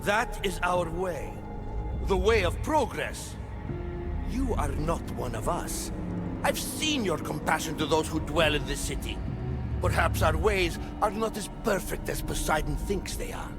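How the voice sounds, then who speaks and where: An actor playing a proud, scheming ruler who lectures others with condescension, in a video game. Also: male voice, on the high side, lively intonation, a little slow. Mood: haughty. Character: proud scheming male ruler Atlas, ageless. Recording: game soundtrack music underneath.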